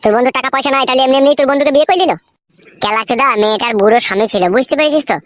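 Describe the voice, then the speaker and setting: A man's voice: extremely high pitch, rapid-fire, no room sound, studio quality. A man voicing a brash, foul-mouthed young cartoon villager with a rural West Bengal accent, grumbling in crude comic banter. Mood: scheming banter.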